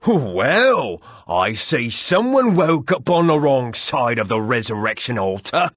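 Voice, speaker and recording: A man's voice: medium pitch, big pitch swings, slow, fairly bright tone, dry recording, clean studio sound, exaggerated voice. A man voicing a theatrical, cowardly comic sidekick adventurer in a video game, hammy and dramatic. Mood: jaunty. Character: jolly, theatrical, cowardly male adventurer playing ship's captain.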